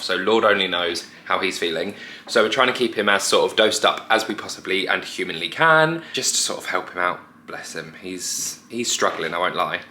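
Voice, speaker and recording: A man with a croaky, hoarse voice, chatting casually to his vlog camera with joking asides. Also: on the low side, sharp tone. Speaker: family vlogger. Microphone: handheld vlog camera.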